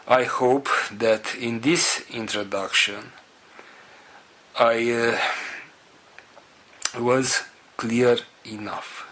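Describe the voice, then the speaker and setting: Very slow, low-voiced earnest man. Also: a bit bright, slight background noise, low-quality recording. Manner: halting, earnest, phrases broken by pauses. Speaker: spiritual group leader. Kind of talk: spiritual address to group members.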